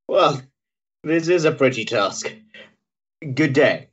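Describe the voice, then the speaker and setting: An actor playing a dry, jovial Victorian doctor in a period stage drama, brisk and teasing in manner. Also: male voice, on the high side, lively intonation, fairly bright tone, breathy edge, lo-fi sound, heavily acted. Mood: brisk, confiding.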